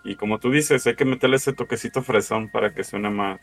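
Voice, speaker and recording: A man's voice: on the low side, fairly even intonation, brisk. A man, chatting casually and laid-back on a podcast with slang. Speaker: podcast co-host. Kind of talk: casual chat.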